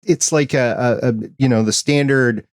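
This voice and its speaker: A man's voice: mid-range voice, moderate pace, no echo, studio quality. A man hosting a podcast interview, asking friendly, curious questions in a casual chat. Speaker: podcast host.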